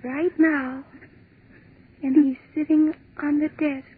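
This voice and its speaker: A terrified young woman trapped in the dark, high voice, very slow words, 1940s radio play on a tinny line. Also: level tone. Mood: dread. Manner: hushed and fearful, breaking into cries. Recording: tinny old radio broadcast.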